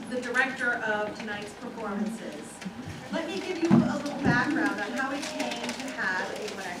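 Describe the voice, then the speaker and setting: A woman speaking as festival director, casually introducing live performances to an audience. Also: a little high. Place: small theater stage. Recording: echoey theater from far off, loud noise.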